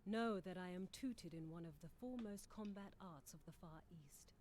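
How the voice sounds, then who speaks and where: A woman plays an earnest foreign warrior woman in a game cutscene. Her voice sits a little low, and her words are humble, formal and old-fashioned. Some noise lies behind the voice. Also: a little mellow, lightly acted. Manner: formal, archaic, measured phrasing. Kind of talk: video game cutscene acting.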